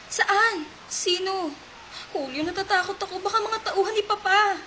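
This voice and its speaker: A woman's voice: very high-pitched, moderate pace, slight background noise. A young Filipino woman playing a devoted, defiant rich man's daughter in an amateur radio drama. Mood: frightened. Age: young adult. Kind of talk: melodramatic radio drama acting. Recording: loud music under the voice.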